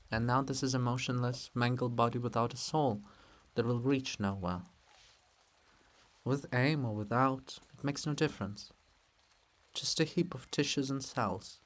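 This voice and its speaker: A man voicing a dignified, respectful male monument of a scholar in a formal, measured manner for a play reading. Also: medium pitch, lightly acted.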